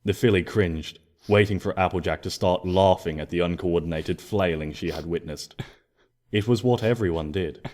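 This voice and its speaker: A man narrating a scripted story expressively, in a gentle storybook manner. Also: deep voice, normal speed. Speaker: fan fiction narrator.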